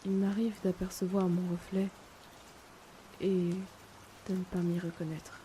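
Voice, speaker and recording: Rain falls steadily behind a wistful young noblewoman played by a woman in an audio drama. She is haunted by her past and speaks slowly and hesitantly, in a hushed, fairly low, even voice. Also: slight background noise, natural voice. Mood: pensive, melancholic. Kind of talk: slow introspective audio drama monologue. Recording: steady loud rainfall behind the voice.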